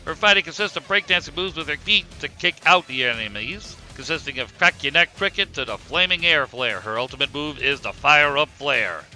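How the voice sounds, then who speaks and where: A man playing a teasing co-commentator, calling animated fight moves in a theatrical play-by-play. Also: fairly high pitch, a little fast, hardly any pauses. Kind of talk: sports commentary in animated show. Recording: loud music over the commentary.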